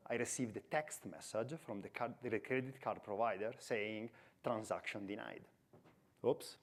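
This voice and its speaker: Italian-accented man, forties, relaxed storyteller on a conference stage, headset mic. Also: medium pitch. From Italy. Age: 40s. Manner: chatty storytelling with self-deprecating humor. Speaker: conference speaker. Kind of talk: conference talk.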